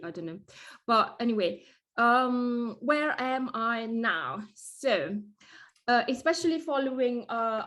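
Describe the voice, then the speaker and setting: A woman's voice: mid-range voice, a bit bright. Casting: Italian-born woman, chatty guest on a video call, lots of ums and restarts. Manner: chatty, many fillers and restarts. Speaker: guest interior designer. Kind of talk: casual video call chat.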